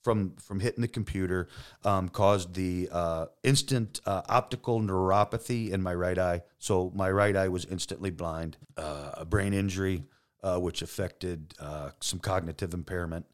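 A man with a deep, loud voice telling stories casually in a studio, joking and swearing as he acts out bits of dialogue. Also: steady tone, normal speed. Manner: joking, profane, frequent quoted dialogue. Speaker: podcast guest, injured police detective. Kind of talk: casual storytelling. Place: podcast studio.

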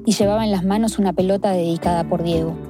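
A woman reporter narrating a documentary story in a measured, descriptive way. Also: fairly low pitch, fairly even intonation. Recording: clear music bed underneath.

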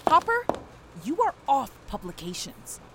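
A woman playing a brisk, impatient department head with a curt, matter-of-fact manner. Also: sits high, huge pitch swings, exaggerated voice, rough recording. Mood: stern. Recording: loud background noise.

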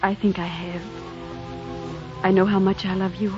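A woman's voice: medium pitch, flat delivery, normal speed, music in the background, close to an everyday voice. A woman playing a devoted, despairing young heroine in a 1940s radio drama, earnest and melodramatic. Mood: tender, resigned. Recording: dull 1940s radio recording.

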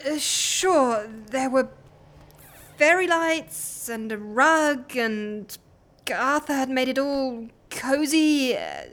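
A woman groggy and sick to her stomach in a comic audio drama. Her high voice drags very slowly, with groans and halting phrases. She sounds mortified and miserable. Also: animated, a bit bright, exaggerated voice. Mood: queasy, confused. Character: groggy, queasy, embarrassed woman recovering from sickness.

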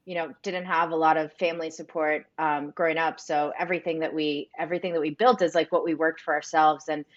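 A woman's voice: deep voice, little pitch movement, brisk, dull audio. A woman whose first language is Spanish, a company co-founder answering interview questions earnestly, with frequent "um" and "like". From United States. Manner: earnest, reflective, frequent fillers like "um" and "like".

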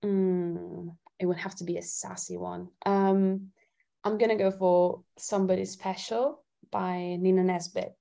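Creaky, low-voiced woman chatting. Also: little pitch movement, a little slow, warm tone. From Italy. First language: Italian. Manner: giggly, thoughtful. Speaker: singer-songwriter, interview guest. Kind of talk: casual chat.

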